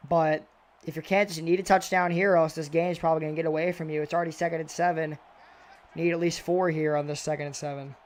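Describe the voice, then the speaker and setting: Young man, high voice, slightly raspy, excitable play-by-play commentary, spikes on big plays. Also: fast. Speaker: video game football commentator.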